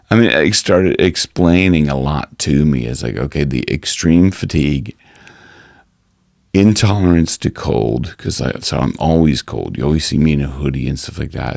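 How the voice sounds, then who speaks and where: A man with an extremely low, gravelly voice talks through a health update in a loose, rambling way, full of restarts. His voice is hoarse. The sound is dry and close. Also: darkish tone, dry recording. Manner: rambling, many restarts and fillers. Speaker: singing coach and vlogger. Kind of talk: casual health update vlog.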